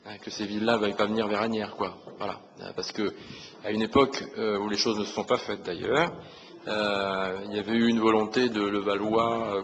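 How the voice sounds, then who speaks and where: Man, lowish pitch, hesitant, repeating words, placating, unscripted council debate, faint noise. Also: a bit bright. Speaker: opposition city councillor. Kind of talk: impromptu council debate remarks. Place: large council meeting room. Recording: reverberant hall with faint noise.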